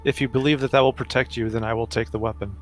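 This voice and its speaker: A man's voice: mid-range voice, steady tone, fast talker, soft background music. A man talking casually as a player in a tabletop roleplaying game.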